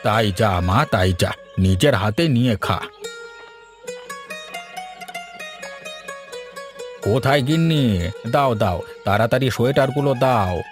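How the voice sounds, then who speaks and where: A man's voice: mid-range voice, lively intonation, moderate pace, music in the background, lightly acted. A man voicing an elderly, hard-up but hospitable village father-in-law in a Bengali cartoon story. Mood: busy, welcoming.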